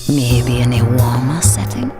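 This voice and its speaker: A seductive female spy in a video game. Her low voice is sultry, teasing and haughty, over loud music. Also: lively intonation, measured pace. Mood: flirtatious. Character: seductive, haughty female intelligence operative, sly femme fatale. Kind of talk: exaggerated game cutscene acting.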